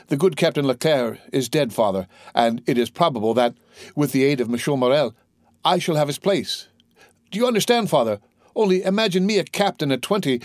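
A man voicing an earnest, excitable young sailor and devoted son, in a dramatised classic audiobook. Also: a little high, no echo, clean studio sound, voice pushed up, natural voice. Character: eager, devoted twenty-year-old male sailor, loving son.

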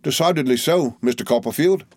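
A man's voice: mid-range voice, a little fast, no echo, pitched above the performer's own voice, close to an everyday voice. A man reading aloud and voicing a fawning, falsely humble young male clerk with a wheedling, obsequious manner.

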